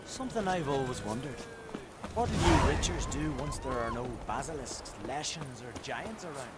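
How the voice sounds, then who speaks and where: A rugged, blunt game warrior from the isles, proud and scornful. His slightly high male voice swings widely in pitch, over loud outdoor noise and clopping hooves. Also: huge pitch swings, normal speed. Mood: curious. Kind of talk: video game dialogue acting.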